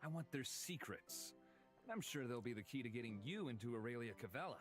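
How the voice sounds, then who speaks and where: An actor voicing a guarded but considerate adventurer, measured and a little hesitant, in a dramatic visual novel style. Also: male voice, mid-range voice, expressive, lightly acted. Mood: calculating. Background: background music.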